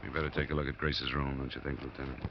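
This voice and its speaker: A man playing a steady, matter-of-fact investigator in a 1950s radio drama, with a dry, measured, plain-spoken delivery. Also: rumbling low, vocal fry, a little hiss or hum. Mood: probing. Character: steady, matter-of-fact investigator, narrator of his own case. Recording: muffled old radio broadcast recording.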